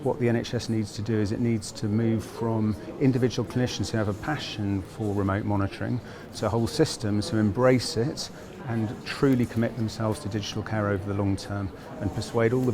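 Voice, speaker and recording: Lower-voiced man over crowd chatter. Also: flat delivery. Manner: measured, argues with figures. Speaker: health technology executive. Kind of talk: panel interview at an event. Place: conference event. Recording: busy crowd noise behind.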